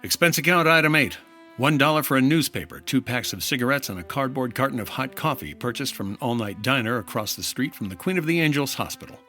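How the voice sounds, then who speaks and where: Casting: male actor as earnest private investigator, animated yet dry, narrating his case like classic fifties radio drama. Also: mid-range voice, fast talker, faint music behind. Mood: businesslike. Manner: clipped, dry detective narration. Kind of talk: 1950s radio detective acting.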